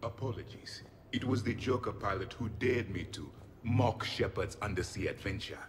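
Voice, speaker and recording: An actor playing a formal, amused ancient alien warrior in a video game, with stilted, mocking delivery. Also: male voice, on the low side, expressive, normal speed, noisy background, close to an everyday voice.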